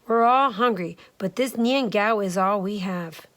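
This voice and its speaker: A woman's voice: medium pitch, a little slow, fairly bright tone, lightly acted. A woman voicing a weary but firm father in a storybook, exclaiming with resignation. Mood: resigned.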